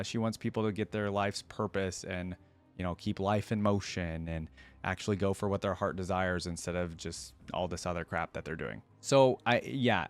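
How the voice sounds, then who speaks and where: A man hosting a reaction video, talking casually and rambling as he thinks aloud. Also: on the low side. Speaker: YouTube reaction host. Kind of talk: casual reaction commentary to camera.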